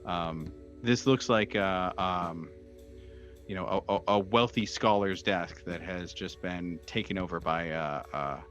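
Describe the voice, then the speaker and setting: A man running a horror tabletop game as game master, improvising his descriptions haltingly and searching for words. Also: low-pitched, fairly bright tone. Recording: dull, muffled sound with music behind. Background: background music.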